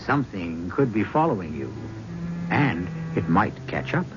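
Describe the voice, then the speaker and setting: A man gives a reflective, theatrical closing narration as a radio host. His voice swoops lively up and down. The recording is muffled old radio with clear hiss. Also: sits low, unhurried.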